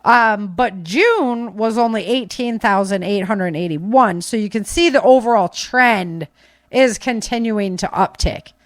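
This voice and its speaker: An American woman speaks as a podcast host in a medium-pitched voice. Her delivery is unscripted and conversational, with emphatic stress on key words. The sound is close and dry, with very little room in it. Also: animated, measured pace, no echo. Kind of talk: casual unscripted podcast commentary.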